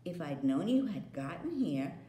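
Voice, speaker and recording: A woman voicing a kind, excited little girl who tells things eagerly, in a roomy-sounding recording. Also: lowish voice, measured pace. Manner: excited, breathless telling. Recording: roomy echo.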